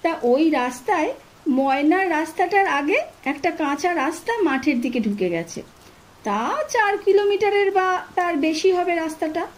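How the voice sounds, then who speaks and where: A woman's voice: very high-pitched, expressive, a little slow. A woman voicing a fearless, scornful older male cousin who talks boastfully and colloquially in a horror story. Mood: eager, recounting.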